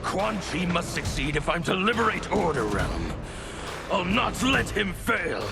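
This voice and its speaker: A man playing a driven, fierce warrior in a video game, with a creaky voice. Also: high-pitched, very animated, unhurried, heavily acted. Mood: determined. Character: driven, fierce warrior loyal to a sorcerer's cause. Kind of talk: video game cinematic acting. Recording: loud music behind.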